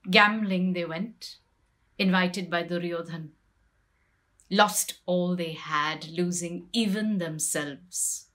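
Wronged queen and wife, played by a middle-aged woman, bitter and grieving. Lowish voice, very unhurried poetic monologue, hushed in places. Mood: solemn, bitter. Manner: measured, deliberate, with pauses and hushed phrases. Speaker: poetry reciter. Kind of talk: dramatic poetry recitation. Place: small plain room indoors.

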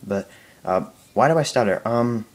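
A young man answering viewer questions in a casual home video, talking haltingly with a stutter, many fillers and restarts. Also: lowish voice, expressive. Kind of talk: casual Q&A talk to camera.

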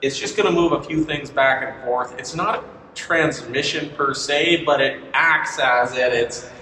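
A man in his thirties giving a relaxed, casual walkthrough of heavy truck axle parts, chuckling at himself and correcting his words as he goes. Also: medium pitch, measured pace. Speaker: vlogger touring a truck axle factory. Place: large glass-walled indoor lobby. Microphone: camera mic from a few feet away. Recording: echoey hall, distant mic, faint noise.

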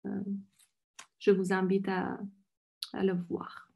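A woman from Iran introduces herself at an unhurried pace, with frequent pauses and fillers. She speaks into a laptop webcam from a room at home. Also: mid-range voice, completely flat delivery, creaky, darkish tone. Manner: hesitant, many pauses and fillers. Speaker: poet and visual artist. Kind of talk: introducing herself on a video call. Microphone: laptop webcam, video call.

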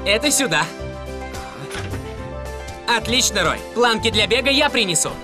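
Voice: mid-range voice, lively intonation, measured pace, over music, lightly acted. A performer playing a confident, reassuring rescue police car, the friendly team leader in a children's cartoon, upbeat in manner. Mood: busy, upbeat.

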